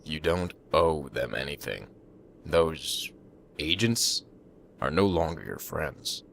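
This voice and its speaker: Controlling anime-drama dad, very deep and gravelly, falsely kind male actor. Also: lively intonation, slow pace, a bit bright, slight background noise, full character voice. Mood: calm, manipulative. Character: controlling, falsely kindly father, middle-aged schemer. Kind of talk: anime fan audio drama.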